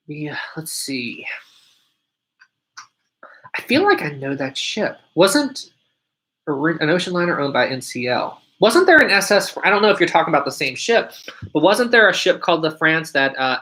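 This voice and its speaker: Man with a deep voice, chatting on a live stream. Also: sharp tone. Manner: chatty, answers viewer questions one by one. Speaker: live stream host reading chat. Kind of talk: casual live stream chat.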